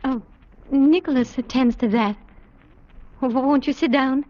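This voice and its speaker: A woman's voice: sits high, unhurried, darkish tone. An actress in a 1940s radio drama playing a wary, lonely young woman with a wistful manner. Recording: muffled 1940s radio broadcast.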